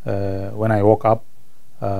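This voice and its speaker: A man in his thirties from Sudan, a native Arabic speaker with a Sudanese Arabic accent, answering interview questions as a student in halting English, searching for words. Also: lowish voice, monotone, gravelly, dark tone. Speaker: college ESL student being interviewed. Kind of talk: interview answers about own life. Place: indoor room, seated on camera.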